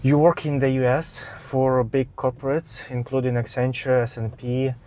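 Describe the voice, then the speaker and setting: A man's voice: mid-range voice, level tone, moderate pace, darkish tone. Polite male interview host. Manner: polite, hesitant with fillers. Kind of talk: interview questions.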